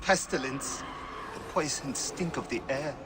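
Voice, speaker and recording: An actor voicing an anxious, solemn high priest of a cursed city in a video game cutscene, stammering and pleading. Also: male voice, unhurried, natural voice. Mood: grave. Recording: game cutscene with music underneath.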